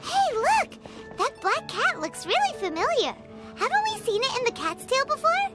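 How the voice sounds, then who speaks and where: A woman's voice: extremely high pitch, very animated, normal speed, over loud music. A woman voicing a tiny, chirpy floating fairy companion in a video game, blunt and talking about herself by name. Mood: excited.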